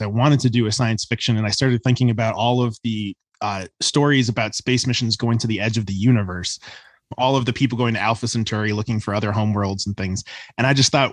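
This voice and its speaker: A man speaks as an interview guest, explaining his work in a conversational way. His pitch is average for a man. The recording is dry, free of room sound. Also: no room sound. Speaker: podcast guest, audio drama creator.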